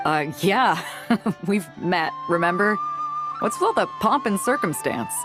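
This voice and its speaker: A woman's voice: fairly low pitch, very animated, a little slow, poor audio. An actress playing a bold, hearty, easygoing woman fleet captain in an anime-style video game, casual and self-assured. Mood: amused. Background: faint background music.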